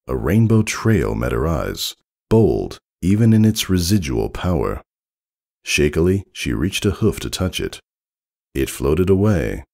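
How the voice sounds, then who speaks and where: A man reading scripted story narration with dramatic, measured phrasing. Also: rumbling low, creaky, darkish tone. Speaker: fan fiction narrator.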